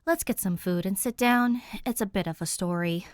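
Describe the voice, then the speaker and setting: A woman's voice: mid-range voice, normal speed, no room sound, natural voice. A woman doing a dry, level-headed older sister. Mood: pointed.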